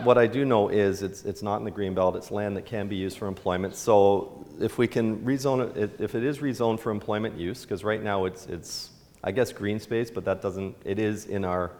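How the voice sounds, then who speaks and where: A middle-aged man, the mayor, speaks in a fairly low voice, answering audience questions loosely and restarting his sentences often. He stands in a big indoor hall, and his voice rings out with a long reverb. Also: darkish tone. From Canada. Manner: casual, rambling answers with restarts, gestures while standing. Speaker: town mayor. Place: large indoor hall with a stage.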